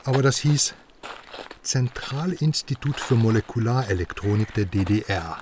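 A man's voice: on the low side, expressive, normal speed, recorded from a distance, noisy background, close to an everyday voice. A man playing a pushy, self-assured journalist in a radio crime drama, talking at length in a persuasive, self-important way. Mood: eager, explaining. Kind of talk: naturalistic radio drama dialogue.

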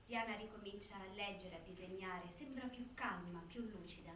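A woman's voice: medium pitch, moderate pace, a bit bright. A woman narrating a scripted documentary theatre piece in a very echoey hall. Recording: very echoey hall, distant, faint noise.

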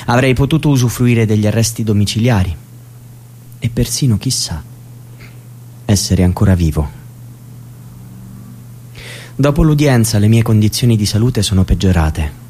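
A man playing a dead young man, deep-voiced. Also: animated, dark tone, slight background noise, close to an everyday voice. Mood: grave. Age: young adult. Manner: grave, measured first-person testimony. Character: young man, dead in custody, recounting his death. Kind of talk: theatrical radio drama monologue. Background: music.

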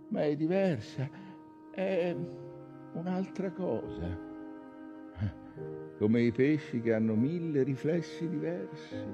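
Hushed, slow, sorrowful little sailor. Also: male voice, medium pitch, highs cut off. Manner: hushed, halting, confiding. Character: timid, sorrowful little sailor confiding his fears. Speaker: stage actor. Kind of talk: theatrical monologue. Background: music.

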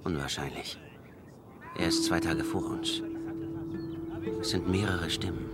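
An actor playing a guarded, grave Comanche-raised western scout in a German radio play. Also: male voice, low voice, unhurried, heavy background noise. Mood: wary, quiet. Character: taciturn young Comanche-raised mixed-blood man, proud, wary western scout.

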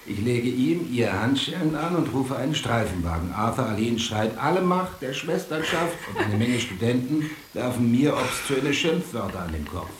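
A man playing a hard-boiled police detective and father in a radio drama, with a gravelly voice. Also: mid-range voice, sing-song, normal speed, hardly any pauses, echoey room, slight background noise, lightly acted. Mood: wry storytelling. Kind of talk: radio drama acting, storytelling.

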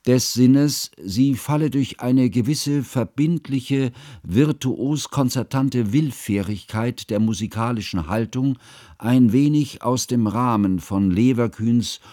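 An actor as mellow, dry-recorded scholarly narrator, male. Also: medium pitch, dry recording, close to an everyday voice. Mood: earnest, pedantic. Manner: measured, formal, pedantic. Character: bookish, earnest, fussy male scholar recounting his friend's life. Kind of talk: literary radio play narration.

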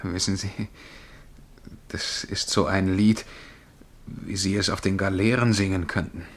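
Cocksure young poet, lowish voice. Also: male voice, fairly even intonation, unhurried. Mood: casual, impatient. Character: cocksure dreamy young male amateur poet. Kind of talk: German radio drama acting.

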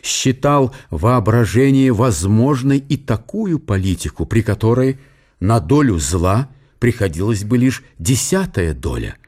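An actor playing the sober narrator of a historical radio drama, reading literary prose in a measured, solemn way. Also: male voice, lowish voice, darkish tone, slightly rough, heavily acted. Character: sober storyteller of a historical tale. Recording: music behind the voice.

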